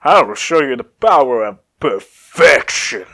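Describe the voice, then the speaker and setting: A man's voice: medium pitch, sing-song, a little slow, fairly bright tone, full character voice. A man voicing an arrogant, scornful android villain from anime fanfiction, with pompous, taunting delivery.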